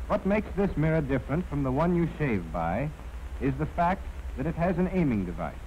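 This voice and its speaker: An American man reading scripted instructional narration in a formal, measured, precisely enunciated way. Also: a little high, sing-song, a little mellow. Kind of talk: scripted training film narration. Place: narration recorded for an archival film. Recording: dull, noisy 1940s film soundtrack.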